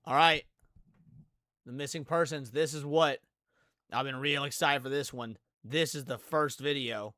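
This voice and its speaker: A man's voice: mid-range voice, fairly even intonation, a little slow, long pauses, pushed and bright, no echo, clean studio sound. A man hosting a live stream, chatting casually and reading text aloud, stumbling and restarting now and then. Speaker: live stream host reacting to videos. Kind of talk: casual reaction commentary.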